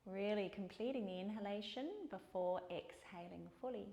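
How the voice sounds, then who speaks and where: A woman teaching yoga and guided meditation in a calm, soothing way, with unhurried pauses between phrases. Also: medium pitch, vocal fry. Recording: echoey room.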